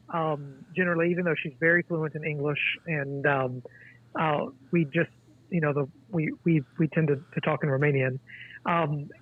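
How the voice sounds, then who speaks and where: Man in his forties on a tinny phone line. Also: on the high side. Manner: halting, thoughtful, many restarts. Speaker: guest author. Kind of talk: casual interview conversation.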